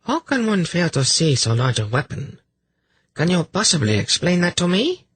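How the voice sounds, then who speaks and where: Guy doing a mean imperious priestess for a fantasy audiobook, husky, pitched up, cold n suspicious. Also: big pitch swings, moderate pace, a bit bright, no echo, heavily acted. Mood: cold, sarcastic. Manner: cold, menacing, sarcastic questioning. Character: cruel, imperious, suspicious scarred high priestess. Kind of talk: fantasy audiobook character acting.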